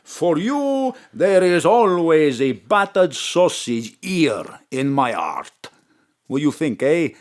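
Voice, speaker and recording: A gushing chip shop owner. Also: female voice, deep voice, very animated, slow, darkish tone, pitched below the performer's own voice, heavily acted. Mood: eager. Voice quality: broken English, theatrical and effusive. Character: lovesick middle-aged Greek chip shop owner. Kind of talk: comic radio drama.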